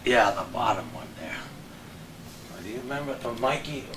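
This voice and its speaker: A man's voice: medium pitch, animated, moderate pace. An American man, a veteran broadcaster and guest, reminiscing in casual chat. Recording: echoey room, distant mic, noisy.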